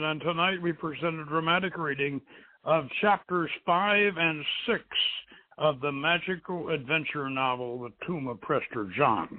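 A man hosting a radio show, introducing and reading aloud an adventure story, on a muffled narrow-band recording. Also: a little high. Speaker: show host and novelist reading own story.

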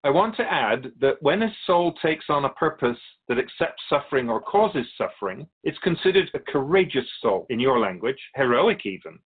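A man plays an otherworldly keeper of souls in a radio drama. He is calm and earnest, explaining things formally and patiently in measured phrases, like a teacher. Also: mid-range voice, husky, narrow, tinny audio. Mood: solemn, explanatory. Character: calm otherworldly male soul keeper, earnest storytelling spirit. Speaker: interviewee.

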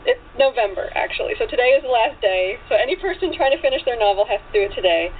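A woman's voice: fairly high pitch, lively intonation, fast talker. Enthusiastic woman, thin phone-line sound. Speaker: interview guest, author. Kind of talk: casual interview chat. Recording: tinny phone line with background noise.

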